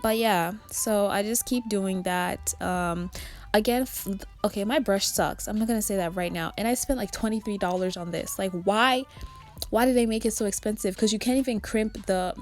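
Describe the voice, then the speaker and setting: A young woman with a raspy, just-woken voice, rambling and self-critical as she narrates a vlog into her phone. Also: mid-range voice, normal speed, soft background music. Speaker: nail art vlogger. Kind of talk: casual voice-over narration.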